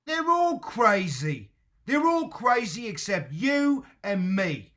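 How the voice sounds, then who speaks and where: A comic, cheeky attendant in an old-time radio drama, put on by a man. The voice is high and forceful, excitable and working-class. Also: slow pace, full character voice. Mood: wry.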